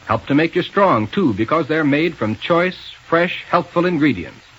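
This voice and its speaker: An American man works as a vintage radio announcer. His fairly high voice swings widely with enthusiastic emphasis. The recording is dull and faintly hissy. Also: animated, moderate pace, a bit bright. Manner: enthusiastic, emphatic sales delivery. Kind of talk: 1930s radio announcing and ad reading.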